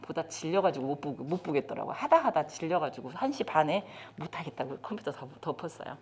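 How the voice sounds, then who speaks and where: Middle-aged woman teaching a class, pitch jumps around a lot, asks the room questions. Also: fast talker, husky. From South Korea. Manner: lively, talks straight to the audience with questions. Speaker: lecturer on child development. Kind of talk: training lecture to caregivers. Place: lecture room.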